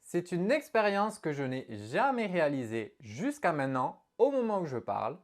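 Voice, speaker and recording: A woman teaching an online English lesson in an animated, expressive way as she explains to viewers. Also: on the low side, moderate pace.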